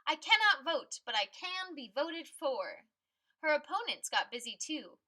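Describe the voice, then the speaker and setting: A woman reads a picture book aloud in a fairly high, bright voice. Her delivery is expressive and forceful. She phrases dramatically and puts clear emphasis on key words. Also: unhurried. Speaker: read-aloud storyteller.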